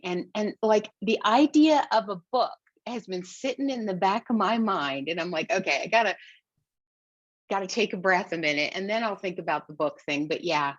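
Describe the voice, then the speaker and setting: A middle-aged woman hosts a podcast in a relaxed, riffing way, peppering her talk with fillers and restarts. Her voice sits a little low. The recording is dry and a bit dull. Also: no room sound. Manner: chatty, riffs with fillers and self-corrections. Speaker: podcast host. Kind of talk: casual interview chat.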